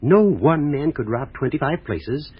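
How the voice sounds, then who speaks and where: A fussy, insistent male businessman in an old radio play. His rather high voice swoops with exasperated formality, and the vintage broadcast sounds dull. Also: animated, unhurried, husky. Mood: exasperated, incredulous. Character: fussy, flustered businessman representing insurance companies. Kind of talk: 1940s radio acting.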